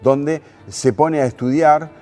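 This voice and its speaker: Argentine man hosting over soft background music. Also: a little high, fairly even intonation, slow pace. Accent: Argentine Spanish. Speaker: radio host and music programmer. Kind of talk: music programme commentary.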